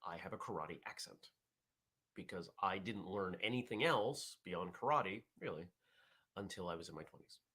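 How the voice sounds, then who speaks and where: Husky low-voiced man hosting a live chat. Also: lively intonation, normal speed, pushed and bright. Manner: rambling, self-correcting, reads viewer chat aloud. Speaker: host of a martial arts morning show. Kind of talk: casual live-stream chat with viewers.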